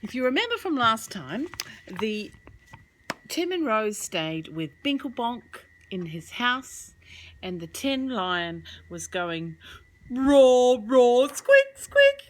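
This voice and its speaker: Very animated, slow-paced woman storyteller. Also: medium pitch, a little hiss or hum, poor audio. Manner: expressive, measured. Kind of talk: children's storybook reading.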